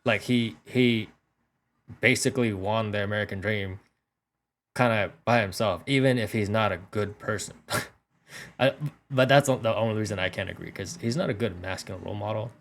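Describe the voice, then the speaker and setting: A man's voice: lowish voice, fairly even intonation, normal speed. A man in a group debate, talking casually and hedging his opinion.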